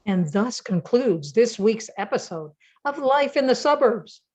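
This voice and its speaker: A woman's voice: fairly high pitch, lively intonation, measured pace, a little mellow. An older woman reading scripted narration as the announcer of a radio play.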